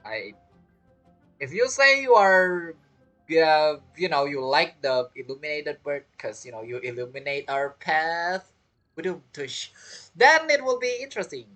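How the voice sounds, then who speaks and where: Low-pitched, lively woman gamer. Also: measured pace. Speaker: game streamer. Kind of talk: casual reaction commentary over gameplay. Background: faint game music.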